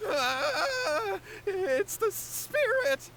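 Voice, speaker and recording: A man's voice: very high-pitched, sing-song, drawn-out words, bright, forceful tone, a little hiss or hum, full character voice. A man voicing a cowardly, scheming, flustered young man in a video game, speaking in a nervous, pleading way. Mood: terrified. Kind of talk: video game character acting.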